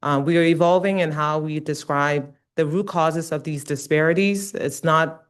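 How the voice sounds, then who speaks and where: A woman's voice: deep voice, fairly even intonation, moderate pace, smooth. A middle-aged woman from Ghana giving an academic lecture, speaking earnestly with frequent "um" and "uh" fillers. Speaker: guest lecturer, nursing researcher.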